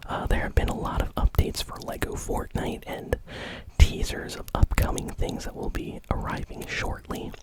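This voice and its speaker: ASMR news host, fully whispered, breathy and unhurried, casual commentary on games. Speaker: host of gaming news show.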